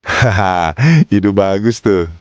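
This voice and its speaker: A man's voice: deep voice, takes it slowly, no room sound, highs cut off. A man voicing a cocky, mocking teenage schoolboy in slangy cartoon banter. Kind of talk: casual slangy banter in animated drama.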